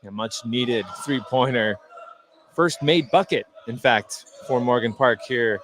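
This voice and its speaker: A man working as a radio color commentator, explaining basketball plays in a halting, conversational, unscripted way. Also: mid-range voice, a bit bright. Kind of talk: unscripted radio sports analysis.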